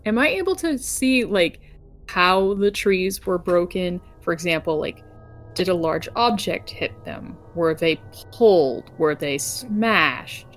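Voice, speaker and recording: A woman's voice: on the low side, expressive, slow, faint music behind. A woman playing a tabletop game, chatting casually in a wordy, inquisitive way and often rephrasing herself. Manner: inquisitive, wordy, self-checking. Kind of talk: casual chat. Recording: clear background noise, room ambience.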